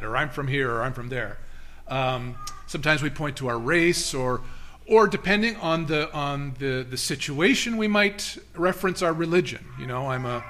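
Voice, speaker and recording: Bright-voiced man preaching conversationally. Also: a little high. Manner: conversational, self-deprecating, many restarts. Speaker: guest preacher. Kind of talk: interactive sermon. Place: church sanctuary.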